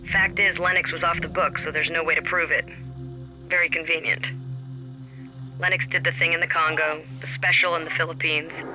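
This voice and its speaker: A low female voice of an actress as a hard-bitten special operations soldier in a video game. She recounts events cynically, in terse and measured phrases, with music clearly behind her. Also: natural voice, rough recording. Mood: cynical, grim. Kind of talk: dramatic game cutscene acting.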